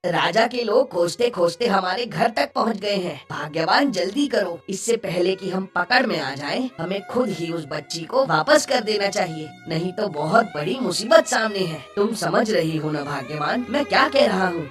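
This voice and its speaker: A man's voice: high-pitched, a bit bright. A man voicing a worried, conscientious fisherman and husband in a cartoon story. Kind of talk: Hindi cartoon story dialogue. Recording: distant sound with faint music.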